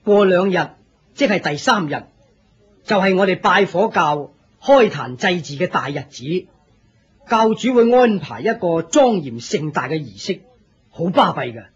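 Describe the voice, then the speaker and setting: A man's voice: high-pitched, expressive, measured pace, slightly rough, muffled recording, exaggerated voice. A man with a Hong Kong Cantonese accent playing a friendly, simple, devout senior disciple in a radio drama, explaining things plainly and patiently.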